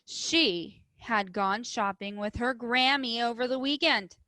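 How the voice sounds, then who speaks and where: A woman teacher reading a storybook aloud expressively to children, emphasizing words and pausing to instruct and put questions to them. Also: fairly high pitch, pushed and bright, no room sound. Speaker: elementary school teacher.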